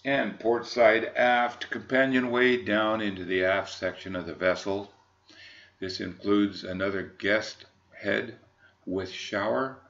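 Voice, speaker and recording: An American man, middle-aged or older, giving an unscripted sales walkthrough in a halting, measured, formal manner. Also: lowish voice, a bit bright, dull audio. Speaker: yacht broker presenting a boat.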